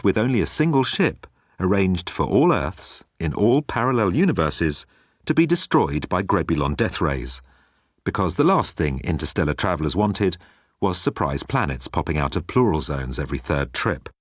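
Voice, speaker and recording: A man's voice: deep voice, sing-song, normal speed, no room sound, clean studio sound. A man reading scripted comic science fiction as a story narrator.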